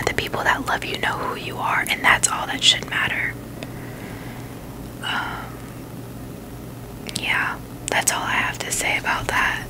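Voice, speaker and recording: A woman whispering in a breathy, unvoiced voice, chatting casually and haltingly in a gentle ASMR style. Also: rough recording. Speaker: ASMR video host.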